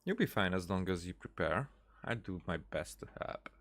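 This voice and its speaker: A man giving casual playthrough commentary in a halting, musing way, close on the microphone. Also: low voice, expressive, noisy background. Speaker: gamer, let's player. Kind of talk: casual game playthrough commentary, reading dialogue choices.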